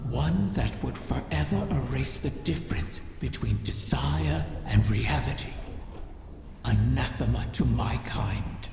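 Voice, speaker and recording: A woman playing the spirit of an ancient, proud, cunning female dragon in a video game, speaking slowly and formally with breathy, gravelly edges. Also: extremely low pitch, exaggerated voice. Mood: grave, brooding. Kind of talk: dramatic video game monologue. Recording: cavernous echo, long reverb, noisy ambience.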